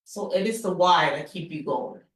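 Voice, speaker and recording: A woman's voice: lowish voice, moderate pace, a bit bright. A middle-aged woman hosting a conversation, asking reflective, encouraging questions. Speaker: host, mother. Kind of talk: interview-style conversation. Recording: echoey room.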